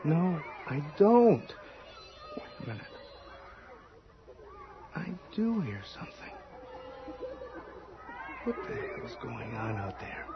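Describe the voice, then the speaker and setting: A man's voice: mid-range voice, very animated, takes it slowly, pauses often, a crowd in the background. An actor playing a tense, irritable novelist and overbearing husband in an old-time radio drama, agitated and hesitant in his speech. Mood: irritated, uneasy. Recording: dull lo-fi radio drama recording.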